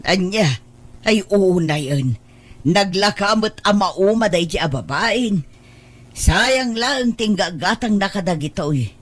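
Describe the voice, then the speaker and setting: Nagging, thrifty mother, acted by a woman, mid-pitched. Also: expressive, takes it slowly, no echo. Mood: fretful. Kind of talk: Ilocano audio drama dialogue.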